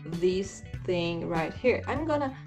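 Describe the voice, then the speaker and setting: Young woman, English, slow and halting, tutorial over background music. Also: on the low side, lively intonation. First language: Portuguese. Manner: hesitant, many fillers and restarts, says "okay?" often. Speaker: language learner vlogger. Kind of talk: casual screen-share tutorial.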